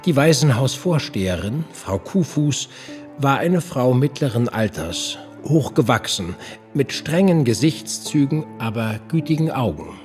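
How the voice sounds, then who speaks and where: A man playing an earnest period investigator who narrates his own case in a measured, literary audio drama style. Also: mid-range voice, very animated, darkish tone, soft background music. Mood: suspenseful narration.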